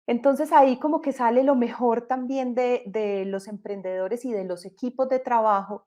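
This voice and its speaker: A woman's voice: a little high, warm tone. A Colombian woman in her thirties with a Colombian accent, a company co-founder giving an explanatory webinar presentation over a video call, with frequent hesitations and restarts. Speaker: company co-founder and panel speaker.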